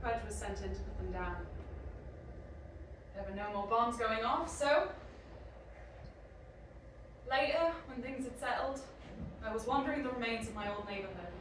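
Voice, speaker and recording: A high-pitched young woman acted by a woman in an audio drama, an anguished clone convinced of her own humanity. The recording is heavily reverberant, with the voice set back from the mic and clear noise underneath. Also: lively intonation, normal speed, long pauses, close to an everyday voice. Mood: somber narration. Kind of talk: audio drama acting and first-person narration. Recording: very echoey, distant mic, noisy.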